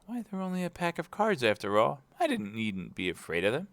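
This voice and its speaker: A man's voice: fairly high pitch, wildly swooping pitch, a little fast, fairly bright tone, no room sound, voice pushed up, heavily acted. A man voicing a polite but plucky and curious young storybook girl. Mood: polite, musing.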